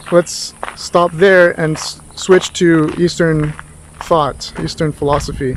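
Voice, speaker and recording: Higher-voiced man tutoring over background noise. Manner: rambling, thoughtful, with rhetorical questions. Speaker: philosophy tutor. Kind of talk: informal spoken lecture.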